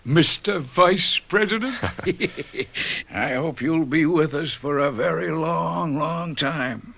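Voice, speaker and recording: A man's voice: on the high side, slow pace, creaky, bright, forceful tone, full character voice. An actor in a radio drama playing a hearty older company boss who chuckles as he talks. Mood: jovial.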